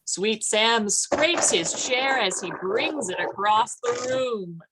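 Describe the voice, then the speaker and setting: A woman's voice: on the high side, expressive, takes it slowly, background noise. A woman narrating a scripted old-time radio comedy play.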